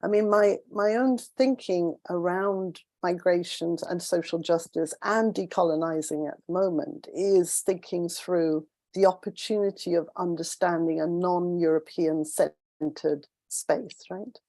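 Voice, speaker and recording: A woman's voice: lowish voice, fairly even intonation, measured pace, warm tone, dry recording. A woman on a panel posing a question to two artists, thoughtful and searching, often restarting and rephrasing as she goes. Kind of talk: panel discussion.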